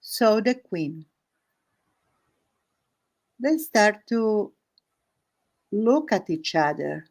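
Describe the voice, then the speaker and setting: A woman who is not a native English speaker tells an improvised story casually, halting phrase by phrase with searching pauses. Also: medium pitch, big pitch swings, darkish tone. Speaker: host of an art and story stream.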